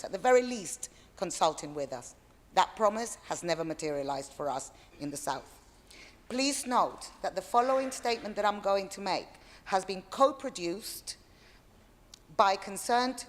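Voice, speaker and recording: A woman resident reading a prepared statement at a council meeting, nervous and earnest, with pointed, direct delivery. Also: mid-range voice. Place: council chamber. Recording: long reverb of a hall.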